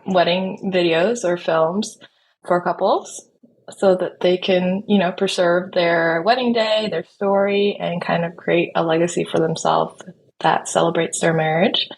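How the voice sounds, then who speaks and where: Casting: Swedish-born woman, 30s, native Swedish speaker, slightly low voice, relaxed storytelling guest, light background noise. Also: measured pace, low-quality recording. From Sweden. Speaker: podcast guest, wedding videographer. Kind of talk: casual interview, telling own story.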